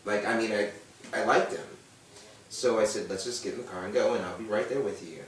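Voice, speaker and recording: A man's voice: fairly low pitch, brisk, slight background noise. A man speaking as a guest on a panel chat show, telling his story earnestly and acting out what others said. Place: room with a brunch panel. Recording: echoey room, distant mic.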